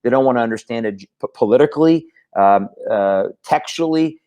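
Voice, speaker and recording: An Iran-born man speaks as a talk show guest, his voice sitting at an ordinary middle pitch. He talks earnestly and steadily builds his points, though he often trips into stammered repeats and restarts. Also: expressive, brisk, warm tone. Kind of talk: casual talk show conversation.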